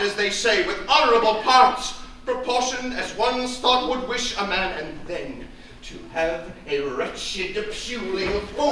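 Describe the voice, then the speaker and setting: A man acting a furious noble father on stage, his voice sitting very high and bright, lines drawn out slowly between bursts of shouting. The sound is distant and very echoey, caught from far across a theatre with faint noise. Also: exaggerated voice. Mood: threatening. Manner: swings from low menace to shouting tirades. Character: stern, raging aristocratic father, middle-aged man. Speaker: stage actor.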